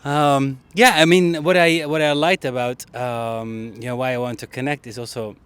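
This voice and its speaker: A man's voice: medium pitch, level tone, moderate pace, a bit bright, clean tone, no echo. A man hosting a casual interview podcast on an outdoor bench, hesitant and jokey, restarting sentences often. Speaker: podcast host. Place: public bench by a harbour outdoors.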